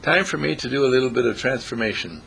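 A self-assured caterpillar from a children's tale, played by a man, proud and patient as he explains himself. The voice is creaky and bright, with lively rises and falls. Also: medium pitch, fast, a little hiss or hum.

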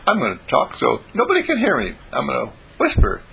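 A man's voice: medium pitch, huge pitch swings, a little fast, gravelly, sharp tone, breathy edge, voice pushed up, full character voice. A man voicing a mischievous, affectionate littlest boy of the family, speaking in a stage whisper in a storybook tale. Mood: sly, secretive.

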